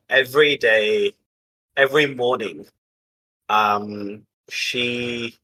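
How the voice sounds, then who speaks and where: A man telling an improvised story casually as a game player, haltingly piecing it together aloud. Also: mid-range voice, sharp tone, low-quality recording.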